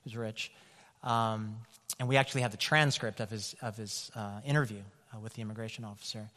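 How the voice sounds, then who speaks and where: A man's voice: on the low side, fairly even intonation, brisk, sharp tone. A man from California, a pastor, telling personal stories in a loose conversational way with many fillers. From California, USA. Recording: long hall reverb.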